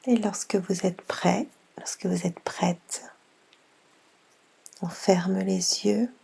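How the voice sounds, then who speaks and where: A woman guiding a yoga nidra session in a soft, half-whispered voice, unhurried, with long pauses between gentle instructions. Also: mid-range voice, steady tone, gravelly, breathy edge. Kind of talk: slow guided meditation.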